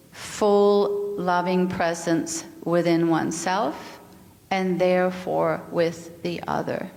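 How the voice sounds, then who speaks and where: A calm, earnest middle-aged woman with a Danish accent, whose voice sits a little low. She gives a reflective spiritual talk, now and then repeating a word as she searches for the next one. The sound carries a long reverb from the meeting hall. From Denmark. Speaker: yoga and mindfulness teacher.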